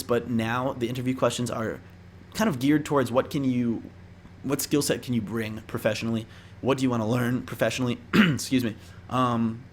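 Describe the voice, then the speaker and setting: A man's voice: medium pitch, slight background noise. A young man chatting casually to viewers as he gives tips, in an informal, rambling, slangy way. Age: young adult. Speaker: vlogger giving interview tips. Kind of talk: casual chat to camera.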